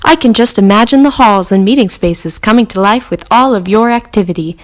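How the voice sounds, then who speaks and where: A woman's voice: mid-range voice, fairly quick, lightly acted. A woman voicing a polite, cheerful spaceship computer making courteous, unbothered announcements in a sci-fi monologue. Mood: cheery. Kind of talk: scripted sci-fi character monologue.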